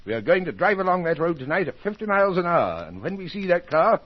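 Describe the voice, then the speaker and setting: An actor playing a brisk, authoritative police inspector in an old-time radio mystery, speaking in a clipped, commanding way with a husky voice. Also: male voice, high-pitched, very animated. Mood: intent, persuasive. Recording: dull old radio recording.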